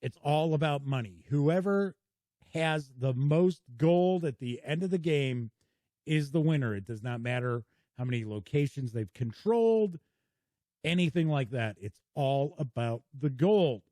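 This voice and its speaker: A man hosts a casual board game review with a folksy, welcoming manner. His pitch swings widely and expressively. His voice sits a little high but has a mellow, dark tone, and it is recorded dry in a small home studio. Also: expressive, unhurried, dry recording.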